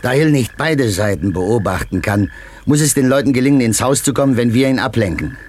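An actor playing a brisk police commander giving clipped, authoritative orders in a radio crime drama. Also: male voice, medium pitch, animated, a little mellow, lightly acted. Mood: brisk, tactical. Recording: hissy old radio play recording.

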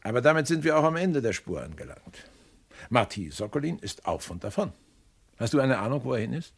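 A man's voice: medium pitch, big pitch swings, fairly quick, breathy edge. An actor playing a dry, sardonic police detective in a German crime radio play, probing as he talks. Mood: wry, determined. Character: dry, wry male police detective questioning witnesses.

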